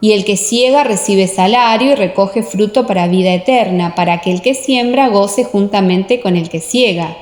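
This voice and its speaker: A woman's voice: medium pitch, moderate pace, hardly any pauses, darkish tone. A woman reading scripture as the narrator of a dramatized audiobook.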